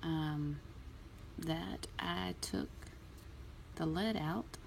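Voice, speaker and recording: A woman in a relaxed, chatty way explaining a nail art tutorial, with plenty of ums. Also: low voice, very slow, creaky, slight background noise. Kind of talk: casual tutorial talk.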